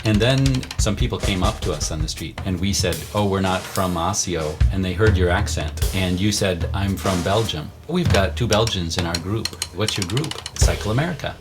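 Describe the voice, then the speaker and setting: A man with a very low, deep voice tells the story of a trip in an easygoing, chatty way. He shares the narration with a partner as if swapping memories. Music plays steadily underneath. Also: normal speed, few pauses. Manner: relaxed storytelling, trading lines with a partner. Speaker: documentary narrator. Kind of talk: conversational film narration.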